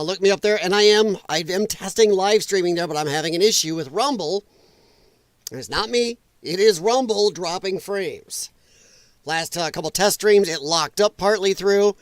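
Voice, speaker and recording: A man hosting a casual late-night livestream at home, rambling and self-deprecating as he chats with viewers, his voice a little rough. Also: high-pitched, a bit bright, dry recording. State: rough voice, occasional coughing. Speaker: livestream host. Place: home room at night, animals nearby.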